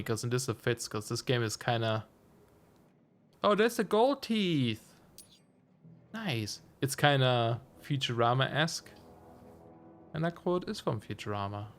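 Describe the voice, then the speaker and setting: Slightly hoarse male game streamer. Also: mid-range voice, animated, measured pace, long pauses, a bit bright, slight background noise. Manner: chatty, muses aloud with self-amused asides. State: slightly hoarse, losing his voice. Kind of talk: casual let's-play commentary.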